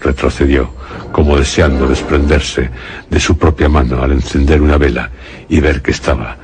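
A man with a Castilian Spanish accent narrating a suspense radio drama in a grave, measured storytelling manner. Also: extremely low pitch, fairly even intonation, noisy background.

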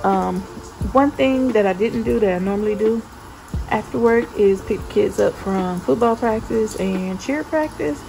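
A woman's voice: mid-range voice, normal speed, gravelly, warm tone, faint music behind, poor audio. A woman in her thirties chatting informally in a casual home vlog, recorded indoors on a handheld phone. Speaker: vlogger.

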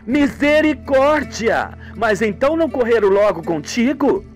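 A man voicing a concerned young woman in a radio soap, with drawn-out, expressive exclamations. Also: very high voice, faint music behind, pitched above the performer's own voice. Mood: sympathetic.

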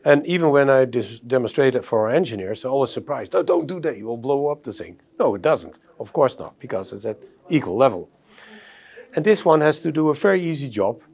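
A man's voice: medium pitch, lively intonation, fairly quick, creaky, a little mellow, breathy edge. Middle-aged man presenting audio gear. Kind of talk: technical product presentation. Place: exhibition demo room, indoors.

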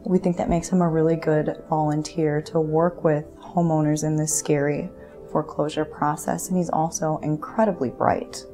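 A woman in her thirties speaks to camera in an office with a low, mellow voice. Her praise comes warm and measured. Faint music sits underneath. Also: flat delivery. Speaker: pro bono coordinator. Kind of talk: interview to camera. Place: indoor office.

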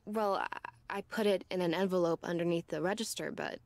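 A woman's voice: lowish voice, steady tone, fairly quick, no room sound, close to an everyday voice. An actress playing a nervous young woman shop clerk in a visual novel, hesitant and meek. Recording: faint music behind.

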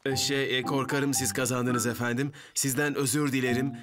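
A man's voice: medium pitch, little pitch movement, fairly quick, music in the background, close to an everyday voice. A man playing an eager, bumbling younger firefighter, hesitant and deferential, in a children's cartoon dub. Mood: sheepish. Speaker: voice actor in animated series.

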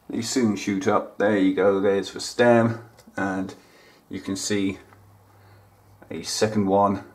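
A man, hosting a casual gardening how-to, chatting plainly and talking straight to his viewers at home. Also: lowish voice. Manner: chatty, plain-spoken, talks to viewers directly. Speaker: gardening channel host. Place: indoors at home, kitchen counter.

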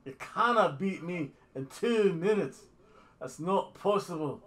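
A man's voice: high voice, animated, a little slow, fairly bright tone, voice pushed up. A man voicing a plucky, defiant woman wrestler with a mock Scottish accent, in a casual vlog.

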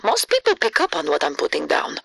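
A female oracle spirit from a video game, speaking cryptic prophecy in a measured way. The voice is bright and dry of any room, with a mysterious yet snarky edge. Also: low-pitched, wildly swooping pitch, gravelly, no room sound, exaggerated voice. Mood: dryly snarky.

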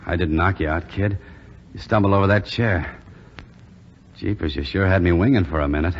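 An actor playing a steady, good-hearted airman buddy in a 1940s radio drama. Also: low-pitched, normal speed, close to an everyday voice. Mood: reassuring. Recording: muffled, noisy, echoing 1940s radio recording.

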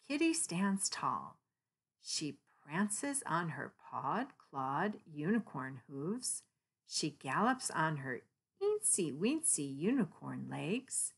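A woman reads a picture book aloud to children. Her voice sits low but moves in lively swoops, with a slightly husky edge and an expressive storytelling style. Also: slow pace.

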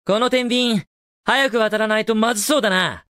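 A man's voice: sits high, normal speed, bright, forceful tone, smooth, no room sound, clean studio sound. A male actor voicing a cocky, daring young cartoon hedgehog hero in a video game, with cool, confident, casual delivery. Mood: observant, cool. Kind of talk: video game voice acting, short in-game lines.

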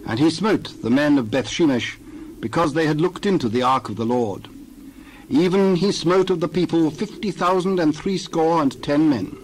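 A man's voice: on the high side, a little hiss or hum, low-quality recording. Man reading scripture steadily. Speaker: Bible reader.

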